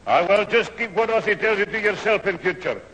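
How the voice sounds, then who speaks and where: An actor playing an indignant, excitable old grandfather with a husky voice in a 1970s radio sitcom. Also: high voice, a little fast, dull audio. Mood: brisk. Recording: reverberant old radio studio recording.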